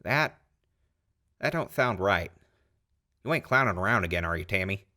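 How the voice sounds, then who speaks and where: A man's voice: fairly low pitch, lively intonation, moderate pace, sharp tone. A man acting a hesitant, plain-spoken small-town lawman in a post-apocalyptic audio drama. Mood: doubtful, wary. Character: wary, folksy small-town lawman, easily cowed.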